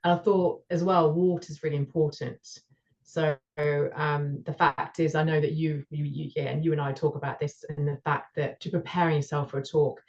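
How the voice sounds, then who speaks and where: Low-voiced woman, supportive and hesitant. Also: flat delivery, normal speed, darkish tone. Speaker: guest. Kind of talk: casual chat. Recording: dull, muffled call audio.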